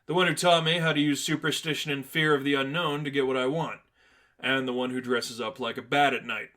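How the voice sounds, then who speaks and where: A man's voice: mid-range voice, fairly even intonation, fairly quick, pushed and bright, lightly acted. A man voicing a cocky young male vigilante who wisecracks playfully in a story reading. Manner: playful, teasing.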